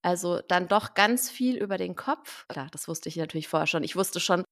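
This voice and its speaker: A woman hosting a casual chat podcast, lively, laughing often and using many fillers. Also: on the low side, moderate pace. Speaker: podcast host.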